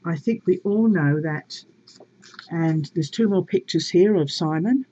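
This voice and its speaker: A woman reading a story aloud in a measured, expressive and wry way, with casual asides to her viewers. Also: lowish voice, breathy edge, dull audio.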